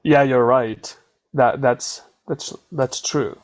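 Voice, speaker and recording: A man's voice: medium pitch, takes it slowly, dry recording. A man from Mexico with a Mexican accent, a native Spanish speaker, answering interview questions as a scientist, hesitantly searching for words. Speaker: scientist, English learner being interviewed.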